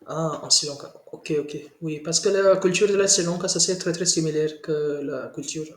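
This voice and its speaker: A man practicing French as a student in a video call lesson, speaking haltingly with many hesitations and slips. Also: a little high. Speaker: language student. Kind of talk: casual conversation practice. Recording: roomy video call audio.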